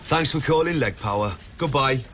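A man's voice: medium pitch, animated, moderate pace, a bit bright, a little hiss or hum, natural voice. A man playing a chirpy Geordie phone agent. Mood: polite. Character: chirpy male call centre agent, cheeky. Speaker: call centre worker in a comedy sketch. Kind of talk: comedy sketch.

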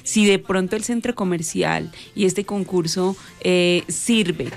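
A woman's voice: lowish voice, slow, gravelly, faint music behind. A woman with a Boyacá Colombian accent, an interview guest speaking for a shopping centre in encouraging, courteous phrases. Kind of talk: informal radio interview.

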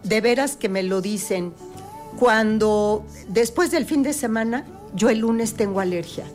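A woman's voice: fairly high pitch, unhurried, darkish tone, faint music behind. A woman on a talk show, patiently explaining health topics with everyday examples. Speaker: health guest expert.

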